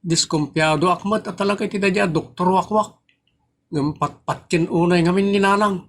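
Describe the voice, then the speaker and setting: A man's voice: high voice, normal speed, a bit bright. A man playing a skeptical, worried villager and son with an Ilocano accent in a comedy audio drama.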